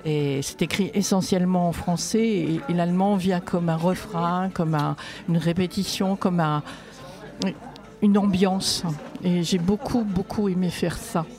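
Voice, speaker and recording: A woman's voice: lowish voice, fairly even intonation, unhurried, darkish tone, faint music behind. A woman poet and workshop leader answering interview questions in a reflective, light-hearted way.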